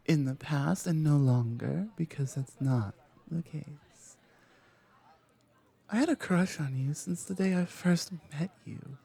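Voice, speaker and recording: A confident, flirtatious former classmate, acted by a woman in an intimate ASMR roleplay. The voice is warm and dark, with a soft, breathy edge. The delivery is slow and halting, coaxing and teasing the listener. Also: low-pitched, full character voice. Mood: earnest confession. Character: confident, flirtatious female former classmate confessing long-held desire.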